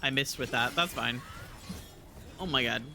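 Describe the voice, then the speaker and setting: A man's voice: mid-range voice, unhurried, gravelly, over music. A man giving casual live commentary as a game streamer, talking into a close mic.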